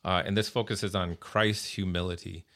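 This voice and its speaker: A man's voice: deep voice, level tone, normal speed, a bit bright. A man speaking as a pastor guest on a podcast, earnest, often repeating words as he gathers his thoughts. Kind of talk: casual religious podcast conversation.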